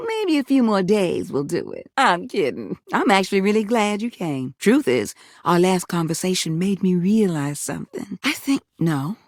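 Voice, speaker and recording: A lady appliance character for a video game, deep-ish and crackly, affectionate, proud, speaks her heart. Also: vocal fry, a little mellow, dry recording, studio quality. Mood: fond, hopeful. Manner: folksy, affectionate, emotionally candid. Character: warm, tender-hearted, proud female household appliance come alive.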